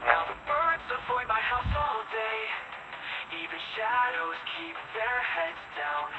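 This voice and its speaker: A woman's voice: a little high, wildly swooping pitch, slow. A woman performing an eerie dystopian song in half-spoken, half-sung verses. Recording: dull lo-fi radio sound under music. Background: music.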